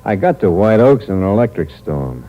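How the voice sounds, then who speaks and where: A man's voice: on the low side, big pitch swings, normal speed, dull audio. An actor playing a hard-boiled drifter who tells his own story in terse, wry narration. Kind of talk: 1950s radio drama acting. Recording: noisy old radio recording.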